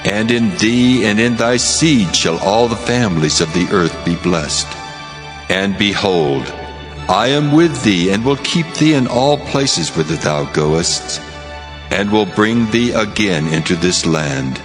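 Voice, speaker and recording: Man acting as God, low-pitched, cavernous echo, stately, deliberate, scripture drama over music. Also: lively intonation. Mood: solemn, promising. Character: God, solemn commanding divine voice making promises. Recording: long cavernous reverb.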